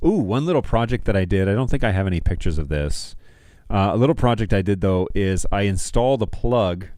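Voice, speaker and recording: A man in his thirties with a fairly low voice talks casually to his livestream viewers. He is easygoing and slangy, speaking into a close studio mic in a small room with very little echo. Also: darkish tone. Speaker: livestream host. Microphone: close studio mic on a stand.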